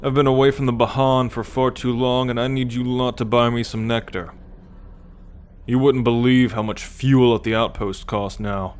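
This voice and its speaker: A man's voice: medium pitch, level tone, moderate pace, clear, resonant tone, close to an everyday voice. A man voicing a cocky, teasing alien military scout and possessive captor in an ASMR roleplay, boastful and sardonic with slangy swearing. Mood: brash, teasing. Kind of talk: ASMR roleplay acting addressed to the listener.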